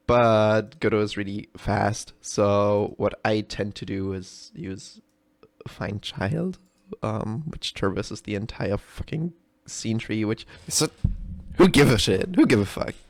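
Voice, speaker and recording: Man, unhurried, mid-low everyday pitch, casual developer commentary, sweary, self-deprecating, giggly, dry recording. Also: dry recording. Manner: giggly, often breaking into laughter. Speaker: game developer recording a reaction video. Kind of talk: casual unscripted live commentary.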